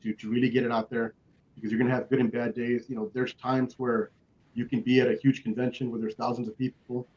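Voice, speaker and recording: A man's voice: mid-range voice, little pitch movement, quick pace. A man speaking as a panelist in a motivational way, emphatic and repeating himself for weight. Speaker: children's author, panelist. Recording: dull, lo-fi call audio.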